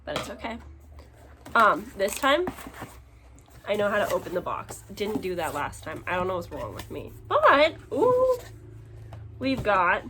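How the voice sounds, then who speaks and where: Chatty, expressive woman vlogger over background noise. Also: mid-range voice. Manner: chatty and expressive, giggly, exclaiming. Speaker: book vlogger unboxing purchases. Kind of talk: casual vlog chat.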